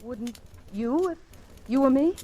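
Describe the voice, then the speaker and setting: An actress playing a seductive, scheming wife in a mid-century radio thriller, with theatrical, hesitant delivery. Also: female voice, high-pitched, animated, measured pace, dark tone. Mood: guarded. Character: seductive, scheming wife entrapping a murderer to save her husband. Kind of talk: 1940s-50s radio thriller acting. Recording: noisy vintage radio drama recording with clicks.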